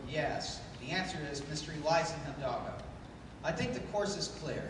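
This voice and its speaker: A man's voice: a little high, expressive, close to an everyday voice. Man playing commanding pulp hero in echoing hall. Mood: grave, resolute. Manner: formal, measured, declamatory. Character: commanding, idealistic pulp adventure hero, a man, calm leader. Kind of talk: 1930s radio adventure acting. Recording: echoey hall from far off, background noise.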